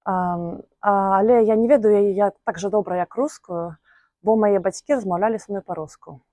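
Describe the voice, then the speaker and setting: A young woman from Belarus talking casually to camera, thoughtful and hesitant, pausing often with filler sounds. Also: medium pitch, dark tone, no room sound. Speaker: vlogger.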